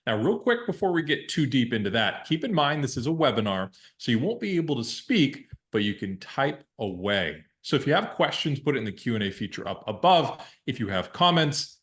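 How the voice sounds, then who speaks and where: A man hosting an online webinar, welcoming attendees with energetic emcee-style patter before handing over to the guest. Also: mid-range voice, brisk, few pauses. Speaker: webinar host.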